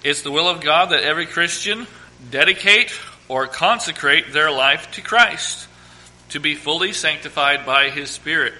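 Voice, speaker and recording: A man preaching a sermon as pastor, hoarse from a cold, reading scripture slowly and with emphasis. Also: on the high side, pushed and bright, low-quality recording. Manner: reads scripture slowly and emphatically, asks congregation to quote verses.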